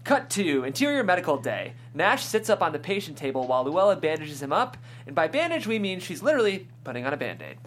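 A woman's voice: low-pitched, big pitch swings, quick pace, hardly any pauses. A woman reading stage directions as scripted narration at a table read. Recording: clear background hum and noise.

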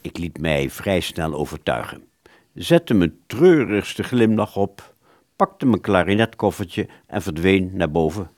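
A smug, roguish musician in a radio play, with a deep voice that swings widely in pitch. He draws words out theatrically, recorded dry. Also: animated, measured pace, a little mellow, no echo. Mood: self-pitying, smug. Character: roguish, self-satisfied male clarinettist recounting his exploits. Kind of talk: radio play storytelling in the first person.

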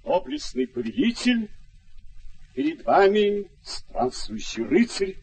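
An actor in a radio play voicing a gallant, deluded elderly knight with solemn, courtly declamation. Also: male voice, sits high, sing-song, slow, over music, heavily acted, rough recording. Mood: lofty, gallant. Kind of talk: theatrical radio drama acting. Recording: old radio recording with faint noise.

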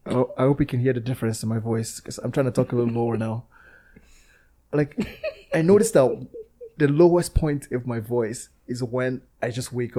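A man's voice: mid-range voice, warm tone. A man co-hosting a podcast in casual chat. He laughs easily and talks in slang, saying bro a lot. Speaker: podcast co-host.

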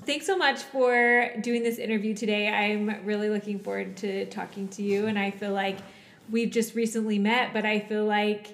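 A woman's voice: medium pitch, flat delivery, normal speed. Woman podcast host, effusive, friendly, reverberant recording. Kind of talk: casual interview. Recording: long reverb.

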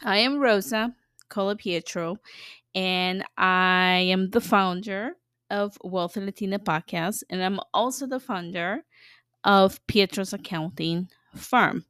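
A woman's voice: medium pitch, slow pace, fairly bright tone, clear, resonant tone, no echo, clean studio sound. A woman from El Salvador hosting her own podcast, talking in an earnest, chatty way with plenty of fillers and restarts. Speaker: podcast host. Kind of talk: casual personal podcast monologue.

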